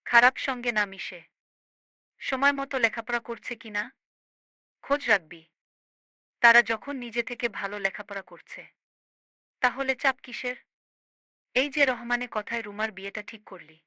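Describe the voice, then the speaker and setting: A woman's voice: fairly high pitch, sharp tone, dry recording. A woman playing a firm, caring older sister in a cartoon, lecturing her grown brother in an emphatic, preachy way. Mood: earnest, advising. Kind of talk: amateur cartoon voice acting.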